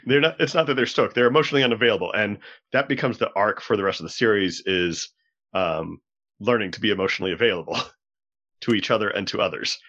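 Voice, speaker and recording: A man's voice: fairly low pitch, fast talker, a bit bright. A man on a podcast panel explaining ideas analytically, piecing them together as he goes, on slightly lo-fi audio.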